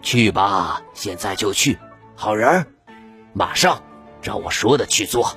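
A man voicing a calm, wise old village elder who gives low, firm commands. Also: a little slow, faint music behind, heavily acted. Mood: commanding.

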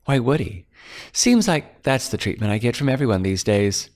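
A man's voice: medium pitch, expressive, normal speed, darkish tone, close to an everyday voice. A man voicing a chatty, gossipy young female secretary.

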